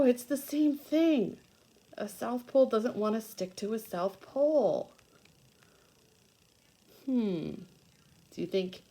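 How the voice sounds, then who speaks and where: A woman's voice: mid-range voice, a little mellow. A woman teacher reading aloud to young children, explaining things patiently in simple words with repetition and questions. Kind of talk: read-aloud lesson with explanatory asides for children.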